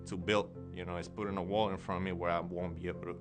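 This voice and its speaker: A man in his twenties, giving a slow, deliberate testimony in a documentary interview. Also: low voice, level tone. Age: 20s. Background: music.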